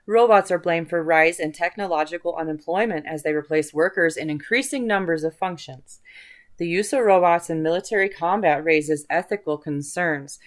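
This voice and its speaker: A woman's voice: fairly low pitch, moderate pace. A woman hosting an online video, talking in a casual, rambling, chatty way with informal enthusiasm for what interests her. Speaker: host of an online video channel.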